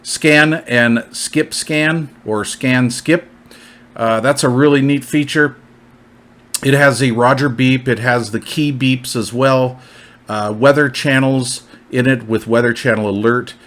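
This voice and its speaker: A man's voice: mid-range voice, fairly even intonation, slow, fairly bright tone. Male product reviewer, mid-range voice, informal and plainspoken, walking through features. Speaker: product reviewer presenting gear. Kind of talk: informal product overview, partly listing features.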